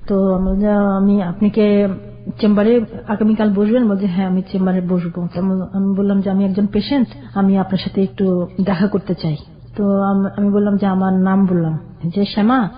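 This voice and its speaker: A woman's voice: medium pitch, level tone. Older woman recounting her life story. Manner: plain, halting recollection. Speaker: caller guest. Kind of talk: personal storytelling. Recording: dull lo-fi radio sound.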